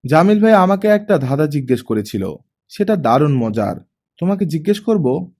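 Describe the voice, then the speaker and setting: A man's voice: a little high, big pitch swings, moderate pace, darkish tone. A man reading as a chatty, eager young girl. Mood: playful. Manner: talkative, insistent, playful. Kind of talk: audiobook character reading.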